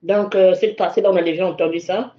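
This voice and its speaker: A woman's voice: fairly low pitch, steady tone, fast, highs cut off. A woman with a cold, speaking in a sermon in a hesitant way with frequent fillers. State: congested with a cold.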